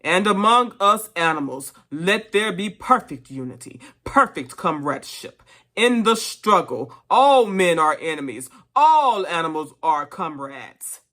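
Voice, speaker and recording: A reader voicing an elderly boar, a solemn revolutionary orator addressing fellow animals in a storybook, with deliberate, emphatic delivery. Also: mid-range voice, very animated, pushed and bright. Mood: rousing, urgent. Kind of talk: dramatic storybook reading of a speech.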